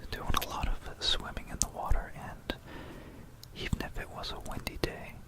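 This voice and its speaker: An ASMR narrator from Florida with an American accent whispers a musing, explanatory history talk close to a stereo microphone. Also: normal speed. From Florida, United States. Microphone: close stereo binaural microphone.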